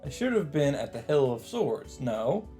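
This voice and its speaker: A man's voice: mid-range voice, sing-song, unhurried, dark tone, faint music behind. A man reading visual novel text aloud as casual narration, dropping in his own asides.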